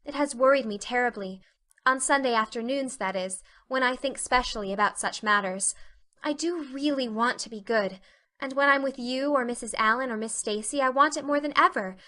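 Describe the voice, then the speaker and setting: A woman's voice: sits high, a little fast, bright, forceful tone. A woman voicing an earnest, talkative, imaginative teenage girl with effusive, dramatic delivery in a reading of a classic novel. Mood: earnest confession.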